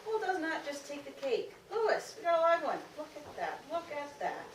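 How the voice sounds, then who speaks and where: A woman acts out a gruff older transit man from a storybook, folksy and easily flustered. The voice is raised and exclamatory, caught from far off in an echoey room. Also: high voice, big pitch swings, normal speed, slight background noise, pitched above the performer's own voice. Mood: astonished.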